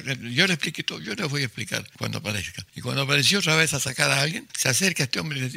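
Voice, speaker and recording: A man's voice: medium pitch, gravelly, bright, forceful tone, slightly rough, no room sound. An older man from Chile, a writer telling vivid stories in an interview, acting out quotes and often restarting his sentences. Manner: vivid storyteller, acts out quotes, frequent restarts. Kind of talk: storytelling in an interview.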